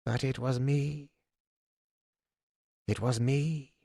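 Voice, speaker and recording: A man's voice: a little high, slow, vocal fry, no room sound, studio quality, voice pushed up. A man voicing a shamed, grieving male jester and prophet in a raised, thin, quivering voice. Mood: broken, hushed.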